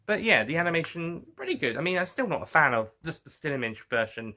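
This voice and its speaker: Bright-voiced man, halting casual reviewer. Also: fairly high pitch, very animated. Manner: halting, rambling, often repeats and corrects himself. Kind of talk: casual unscripted review commentary.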